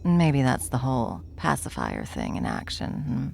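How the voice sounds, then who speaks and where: A woman playing a bored, smug, powerful villain in an ASMR roleplay, with a wry, taunting drawl and a creaky voice. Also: extremely low pitch, wildly swooping pitch, unhurried, darkish tone, a little hiss or hum, full character voice. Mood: wry, conversational.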